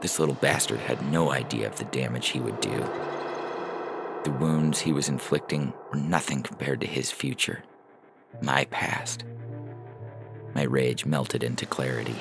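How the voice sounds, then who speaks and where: A man with a gravelly voice reading a scripted first-person story as narration. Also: rumbling low, steady tone, a little slow, pauses often. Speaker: fiction podcast reader and announcer. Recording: music bed behind the voice.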